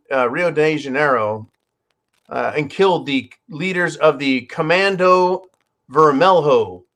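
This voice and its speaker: A man's voice: medium pitch, fairly bright tone. A man hosting his own channel, giving opinionated news commentary in a sarcastic, profane way and often addressing his listeners as folks. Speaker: channel host.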